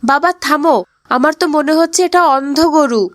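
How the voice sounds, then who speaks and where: A woman's voice: sits high, moderate pace, natural voice. A woman playing an earnest, pious young boy in a cartoon. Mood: questioning. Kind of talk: cartoon voice acting.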